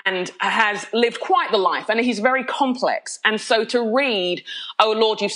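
Woman, middle-aged, from London, bright tone, energetic, laughs often, interview guest. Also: fairly high pitch, a little slow. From London, of Nigerian heritage. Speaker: podcast guest, pastor. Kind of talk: candid conversational interview.

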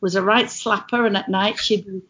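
English woman, middle-aged, lively storyteller, mid-pitched voice, muffled lo-fi sound. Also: moderate pace. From England. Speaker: guest, former cruise ship entertainer. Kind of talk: casual chat.

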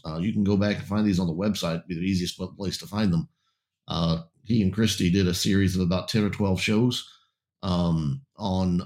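Male talk-show host, deep low register, hoarse and fading voice, halting delivery with lots of ums, blunt opinions. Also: darkish tone. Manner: halting, many fillers and restarts; blunt and emphatic. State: losing his voice, getting raspy.

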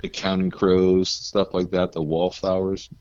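A low-pitched, deep-voiced man from New Jersey, chatting as a guest and telling stories with easy laughs. The audio is dull and a bit muffled, with a dry, close sound. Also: level tone, unhurried, darkish tone, dry recording. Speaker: guest musician. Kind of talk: casual interview chat.

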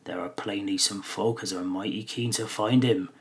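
A man's voice: on the low side, fairly even intonation, normal speed, close to an everyday voice. A man voicing a suspicious, grumbling male hobbit farmer who talks in folksy rustic dialect. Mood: grumbling storytelling.

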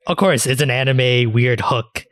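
A man's voice: medium pitch, normal speed, fairly bright tone, no room sound. Male podcast co-host, mid-range voice, dry close sound, informal anime chat with loose, sweary phrasing. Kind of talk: casual anime discussion.

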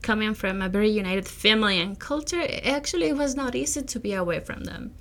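A woman's voice: mid-range voice, fairly quick, fairly bright tone. A young woman from Peru, a native Spanish speaker with a Peruvian accent, earnestly telling her personal story as a podcast guest, with some fillers and restarts.

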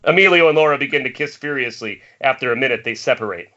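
A man's voice: medium pitch, quick pace, sharp tone. A man reading stage directions as narrator at an amateur table read over an internet call. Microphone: remote call from home. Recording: muffled internet call audio.

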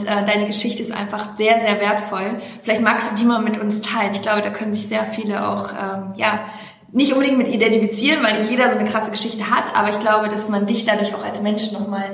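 A woman with a medium-pitched, noticeably creaky voice hosts an interview. She talks in a casual, conversational way. The room around her is clearly echoey. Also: a bit bright. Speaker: interview host.

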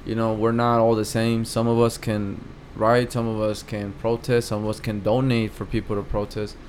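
A man's voice: fairly low pitch, little pitch movement, moderate pace, a little mellow, a little hiss or hum. A man in his thirties from Mexico with a Mexican accent, a guest artist talking hesitantly in a casual interview, with many fillers and restarts.

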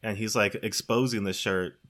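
A man's voice: on the low side, fairly even intonation, normal speed, a bit bright. A man talking casually as part of a podcast panel.

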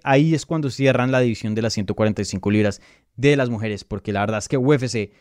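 A man's voice: a little high, dry recording, studio quality. A Colombian man hosting his channel, analyzing mixed martial arts in casual, emphatic talk and answering viewer questions with frequent fillers and restarts. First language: Spanish. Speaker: MMA analyst and channel host.

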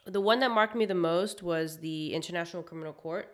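A young woman from Hong Kong, a chef, talking reflectively in a casual interview with many pauses and fillers. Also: lowish voice. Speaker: guest, chef.

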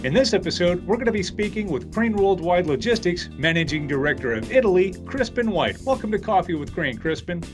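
A man's voice: high-pitched, sing-song, fairly quick, few pauses, over music. A man hosting a podcast in a polished, upbeat way, reading an intro and asking interview questions. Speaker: podcast host.

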